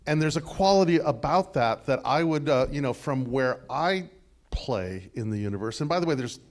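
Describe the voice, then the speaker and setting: A man's voice: medium pitch, normal speed. Guy with a big voice riffing through a sermon reply, lots of ums and restarts. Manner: animated, discursive, many fillers and restarts. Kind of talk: conversational sermon dialogue.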